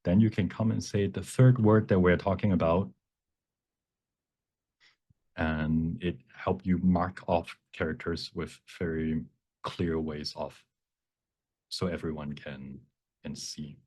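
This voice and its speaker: Low-pitched, mellow man teaching a workshop. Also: fairly even intonation, takes it slowly, long pauses, slightly rough. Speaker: workshop presenter. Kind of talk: live software workshop teaching with Q&A.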